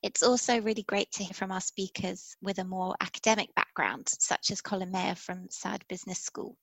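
A woman speaking as a conference producer, giving measured, professional answers as a podcast interview guest. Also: medium pitch, steady tone, gravelly, a bit bright, no echo, low-quality recording.

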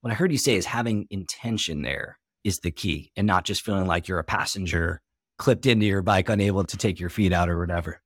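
Male podcast host, lowish pitch, dry close mic, reflective, sums up his guest's points. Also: fairly quick, breathy edge, no room sound. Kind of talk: conversational interview.

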